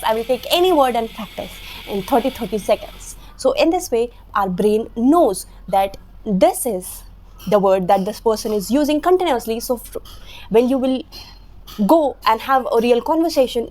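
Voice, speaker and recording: A woman's voice: on the high side, a little mellow. A young woman in her twenties whose first language is Hindi, speaking English with an Indian accent and earnestly explaining vocabulary tips to camera, repeating phrases for emphasis. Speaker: English teacher, channel host. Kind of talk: instructional talk to camera. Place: small room indoors, slatted wall.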